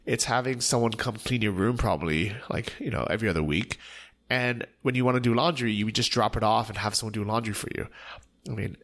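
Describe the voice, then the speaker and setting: A man's voice: on the low side, a little fast. A man hosting a podcast, chatting casually and asking his guest follow-up questions. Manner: relaxed, conversational, asks follow-up questions. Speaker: podcast host. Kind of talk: casual interview.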